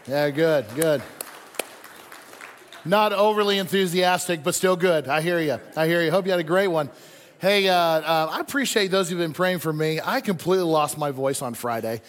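High-voiced male pastor in an echoey hall. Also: normal speed, some chatter behind. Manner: informal, repeats phrases for emphasis. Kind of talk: conversational sermon to a congregation. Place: large indoor church hall. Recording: echoey hall with long reverb.

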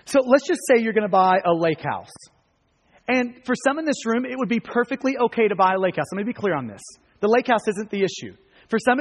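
An American man preaching a sermon to a congregation in an earnest, emphatic manner, repeating phrases for weight. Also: high voice. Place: large reverberant room, live before a congregation. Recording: long hall reverb.

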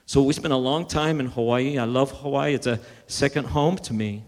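A man's voice: medium pitch, moderate pace, a little mellow, long reverb tail. A man preaching a sermon, building emphasis and sometimes restarting his words. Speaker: pastor.

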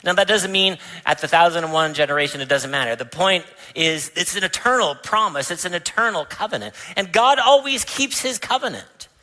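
A man's voice: sits high, animated, fairly quick, hardly any pauses, sharp tone. A man, a pastor, giving a sermon in a church. He tells a family story, then rises to emphatic preaching. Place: church sanctuary.

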